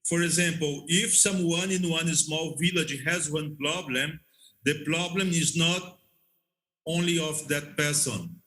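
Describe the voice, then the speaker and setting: Male interview guest, non-native English, deliberate halting storyteller, forceful bright tone. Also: fairly high pitch, poor audio. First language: not English. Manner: halting, repetitive, checks understanding. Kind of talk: conversational storytelling.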